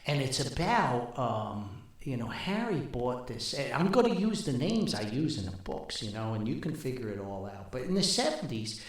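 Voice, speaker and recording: An older man telling a rambling, casual story as a podcast host, with a folksy, wry, halting manner. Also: medium pitch. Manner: folksy, wry, animated, halting with long asides. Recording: very echoey, reverberant room.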